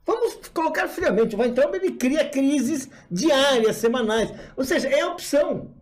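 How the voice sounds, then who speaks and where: A man's voice: very high voice, animated, normal speed. A man giving political commentary, speaking analytically and laughing lightly as he makes his points.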